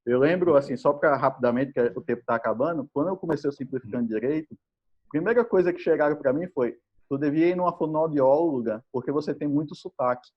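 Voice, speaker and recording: A man's voice: on the high side, fast talker. A male host from Brazil, chatting with a guest on a live video call, friendly and enthusiastic with many fillers. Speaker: podcast host. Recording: dull, lo-fi call audio.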